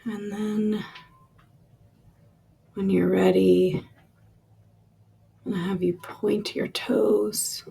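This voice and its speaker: A woman leading a guided relaxation meditation with soft, lingering instructions that trail off into breathy releases, in a husky, creaky voice. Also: medium pitch, level tone, drawn-out words, long pauses.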